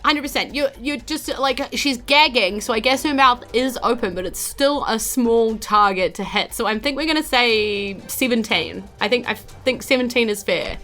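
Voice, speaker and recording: A woman with a New Zealand accent, narrating a tabletop role-playing game as game master in a casual, animated, chatty way. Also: on the high side, moderate pace, hardly any pauses, soft background music.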